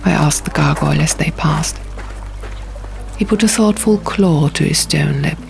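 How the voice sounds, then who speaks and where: Creaky-voiced woman narrating a story. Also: sits low, measured pace. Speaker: audiobook reader. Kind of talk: dramatic first-person scripted narration. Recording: music behind the voice.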